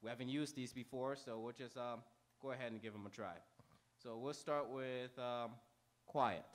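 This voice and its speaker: Male pre-show host, medium pitch, informal announcements to a live auditorium, unpolished and conversational delivery. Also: normal speed. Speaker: host warming up a live theater audience. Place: on stage in an auditorium before a live audience.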